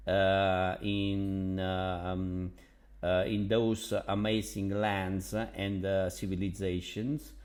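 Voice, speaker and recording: A man from Naples with an Italian accent, a language tutor talking casually about languages in a halting, enthusiastic way with many fillers. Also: on the low side. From Naples, Italy. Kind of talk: casual vlog monologue.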